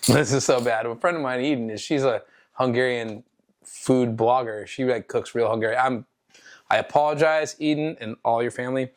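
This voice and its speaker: A woman's voice: rumbling low, normal speed. A woman co-hosting a podcast, chatting casually and tipsily while she cooks in a home kitchen, rambling and making fun of herself with frequent laughs. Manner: rambling, self-deprecating, laughs often. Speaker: podcast co-host. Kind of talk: tipsy casual chat while cooking.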